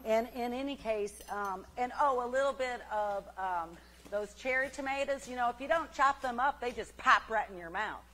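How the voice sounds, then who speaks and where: Brief: actress as a homespun TV cook, bright and chirpy, rambling with little fillers. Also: female voice, fairly high pitch, moderate pace. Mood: folksy chatty. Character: folksy cheery middle-aged woman cooking-show host. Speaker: cooking show host character. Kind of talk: comic cooking segment.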